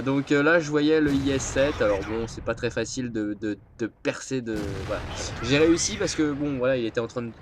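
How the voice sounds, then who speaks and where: A man's voice: medium pitch, a bit bright, a little hiss or hum. Stuffy-nosed man rambling over gameplay. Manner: rambling, hesitant, many filler sounds. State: stuffy nose from a cold. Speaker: gameplay commentator. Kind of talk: casual gameplay commentary.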